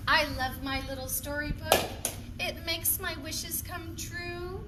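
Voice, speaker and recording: A woman's voice: very high-pitched, takes it slowly. A woman hosting story time for young children, reading a picture book aloud in a playful, exaggerated, sing-song way. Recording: distant mic, clearly noisy background.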